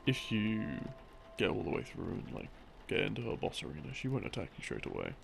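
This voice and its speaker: A man's voice: on the low side, huge pitch swings, brisk, creaky. A man giving a rambling, intimate, casual explanation of lore, with wry, hesitant asides. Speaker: audio creator narrating as a boyfriend explaining game lore. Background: soft game theme music.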